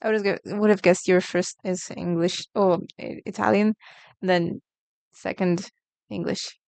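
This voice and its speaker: Smooth-voiced woman chatting on stream. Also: fairly low pitch, steady tone, measured pace, darkish tone, no room sound. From Brazil. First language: Portuguese. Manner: chatty, reacts to chat messages. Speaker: artist streamer. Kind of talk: casual live-stream chat while drawing.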